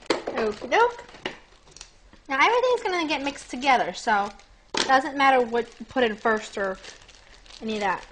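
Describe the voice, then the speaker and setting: A young woman hosting a cooking demonstration in her home kitchen, chatting brightly and speaking straight to her viewers. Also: high-pitched, slight background noise. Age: young adult. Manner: bubbly, chatty, addresses viewers directly. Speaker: cooking show host. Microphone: lapel mic.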